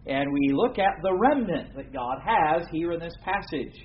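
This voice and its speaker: A man's voice: fairly high pitch, lively intonation. Earnest American man preaching a sermon. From United States. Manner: earnest, formal, with frequent pauses and fillers. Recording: dull lo-fi recording with faint room noise.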